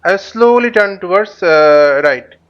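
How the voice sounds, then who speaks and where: A man with a slightly high voice narrates a live flight simulator lesson. He speaks haltingly, thinking aloud as he demonstrates each step like an instructor. The recording is somewhat dull and lo-fi. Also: expressive. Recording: dull, lo-fi microphone sound.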